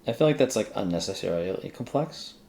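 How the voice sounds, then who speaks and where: Male screencast host, low gravelly register, informal think-aloud delivery with self-directed muttering, light background noise. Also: lively intonation, brisk, a little mellow. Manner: mutters to self while working. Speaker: screencast host working through a coding task. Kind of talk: casual think-aloud coding tutorial.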